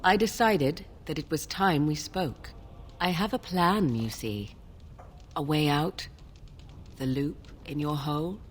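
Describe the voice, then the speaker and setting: Mysterious young witch in a fantasy game, deep for a woman, measured archaic talk, guarded, some background noise. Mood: cool, persuasive. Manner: formal, archaic phrasing, measured and cool. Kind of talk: fantasy video game dialogue.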